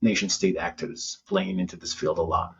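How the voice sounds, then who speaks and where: Husky-voiced Moroccan-born man, thinking aloud. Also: low voice, steady tone, moderate pace, muffled recording. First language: Tamazight. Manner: thoughtful, restarts phrases often. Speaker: city information security chief, guest. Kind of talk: casual interview answers.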